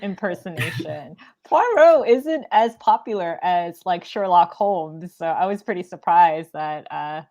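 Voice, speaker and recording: A woman's voice: on the low side, lively intonation, unhurried, hardly any pauses, mellow. A woman hosting a casual author interview, asking questions in an informal way. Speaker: interviewer, bookstore event host.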